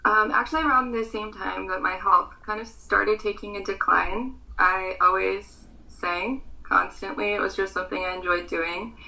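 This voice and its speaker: A woman's voice: mid-range voice, fairly even intonation, normal speed, creaky, hardly any pauses, bright, forceful tone, rough recording. A young American woman in her twenties with an American accent, a pageant contestant answering interview questions earnestly, with frequent ums.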